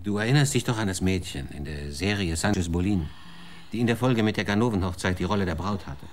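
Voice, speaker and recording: An actor playing a weary man who urgently and gently pleads in a radio drama. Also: deep voice, fast, a little hiss or hum, close to an everyday voice. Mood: pleading.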